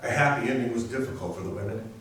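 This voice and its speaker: A man's voice: medium pitch, fast, fairly bright tone, lightly acted. A man playing a lawyer who recounts a court case in a staged readers theater narration. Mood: grave, measured. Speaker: community theatre performer. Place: library readers theater performance, indoors. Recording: very echoey hall, distant, faint hiss.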